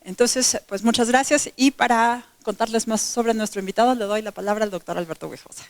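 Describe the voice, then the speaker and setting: A woman's voice: fairly high pitch, fairly quick, no echo. A woman opening an academic event with thanks, hesitant and searching for words. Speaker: event organizer.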